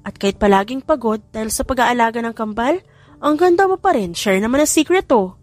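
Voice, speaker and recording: A woman's voice: a little high, expressive, fairly quick, no echo, natural voice. A woman voicing a chatty, playful young female neighbour in an audiobook story.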